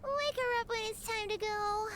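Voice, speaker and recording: Paimon, a squeaky tiny fairy. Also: female voice, normal speed, heavy background noise. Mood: sleepy. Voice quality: high and squeaky. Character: tiny floating female fairy companion, chirpy.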